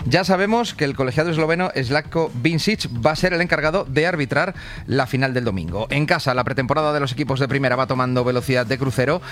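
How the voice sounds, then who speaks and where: A male sports presenter on radio with a Spain accent. His pitch is middling and he talks quickly, in a reporting and chatty studio style. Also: a bit bright. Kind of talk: sports news report and casual chat.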